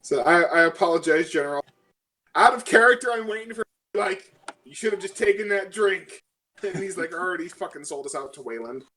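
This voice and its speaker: A woman playing a tabletop game, chatting casually and role-playing, often halting and correcting herself. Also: fairly low pitch, expressive, a bit bright.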